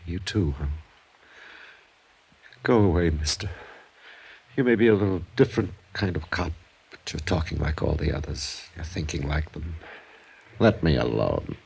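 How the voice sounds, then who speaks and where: An American actor playing a weary, bitter, soft-mannered ex-con drifter near sixty in a 1950s radio crime drama. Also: male voice, rumbling low, lively intonation, normal speed, creaky, pauses often, breathy edge, exaggerated voice, low-quality recording. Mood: bitter, pleading.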